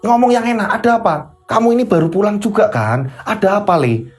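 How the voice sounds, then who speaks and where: A man voicing a concerned older father-in-law, fussing and questioning as he urges calm in a story. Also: high voice, a little fast, pitched above the performer's own voice.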